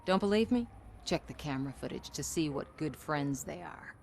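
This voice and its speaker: Fed up tv boss lady in a game, lowish voice, brusque and defensive. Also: lively intonation, normal speed, gravelly, a little mellow, slight background noise. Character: exasperated, sharp-tongued TV production boss. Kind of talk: video game cutscene acting.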